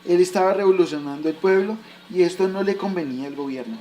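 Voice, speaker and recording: A man's voice: high voice, quick pace, dark tone, close to an everyday voice, low-quality recording. A man playing a patient father who explains history to his son in a recited, didactic way, in an educational radio drama. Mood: explanatory.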